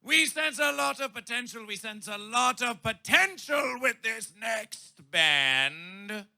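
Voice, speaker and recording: Man festival MC, bellowing and absurd, slow delivery with a harsh, gravelly edge. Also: very high-pitched, lively intonation, bright, forceful tone. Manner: bombastic, absurd wordplay, bellowing. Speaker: festival emcee. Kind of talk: shouted comic stage introductions.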